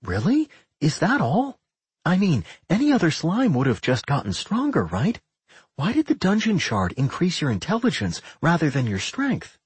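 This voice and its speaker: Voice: medium pitch, wildly swooping pitch, normal speed, breathy edge, dry recording, voice pushed up, exaggerated voice. A narrator voicing a curious, blunt girl in an audiobook, with a probing, questioning manner. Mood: skeptical.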